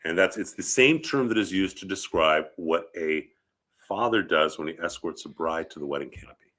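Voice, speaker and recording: A man's voice: deep voice, animated, normal speed, bright, forceful tone. A man with a head cold, sniffling a little, teaching as a rabbi in an informal, conversational lecture and often checking in with short questions. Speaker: rabbi teaching about funeral customs.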